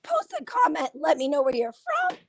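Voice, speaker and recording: A woman hosting a casual live stream, hoarse from laryngitis, chatting in a confiding way and often clearing her throat. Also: high-pitched, wildly swooping pitch, moderate pace. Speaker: gossip channel host.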